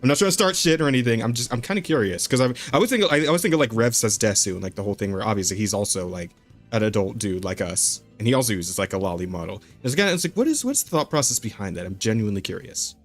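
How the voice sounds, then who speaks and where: Young guy podcast host on a discord call, rambly, keeps tripping over his words, soft music behind. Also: lowish voice, very animated, rapid-fire. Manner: rambling, repeats words, laughs often. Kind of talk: casual podcast chat.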